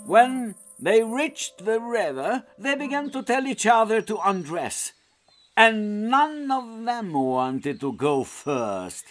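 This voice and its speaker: A man's voice: high voice, big pitch swings, slow pace, soft background music. A man reading a scripted children's story as its narrator.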